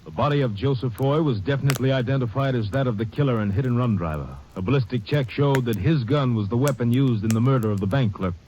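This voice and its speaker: A man reading a formal epilogue in a flat way for an old radio drama. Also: medium pitch, quick pace, hardly any pauses, soft background music. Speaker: narrator. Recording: dull old radio transcription.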